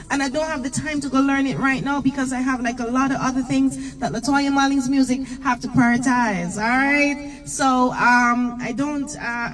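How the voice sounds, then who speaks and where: High-voiced chatty woman in a car. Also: distant mic, low-quality recording. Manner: chatty, lively, greets viewers by name. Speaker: gospel singer hosting a morning livestream. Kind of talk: casual live chat between songs. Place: parked car interior. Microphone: handheld microphone.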